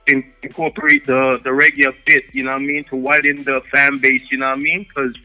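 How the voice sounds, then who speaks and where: A young man with a Jamaican accent, a guest artist chatting casually in an interview, relaxed, and often saying "you know what I mean". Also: mid-range voice, quick pace, faint music behind, low-quality recording.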